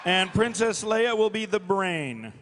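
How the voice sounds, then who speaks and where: High-voiced man moderating a stage panel. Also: expressive, measured pace, a bit bright. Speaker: panel moderator announcing casting. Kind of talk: live stage announcing. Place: large convention hall stage. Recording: echoey convention hall, faint noise.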